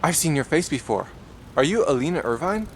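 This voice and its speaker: A man playing a polite, confused newcomer in an audio drama, speaking naturally and haltingly. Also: a little high, lively intonation. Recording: clear urban and traffic noise.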